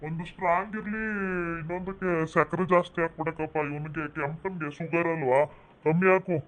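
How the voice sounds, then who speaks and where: A man's voice: a little high, steady tone, fairly quick, fairly bright tone, lightly acted, poor audio. A man playing an easygoing, familiar village customer in a rural drama. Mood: casual.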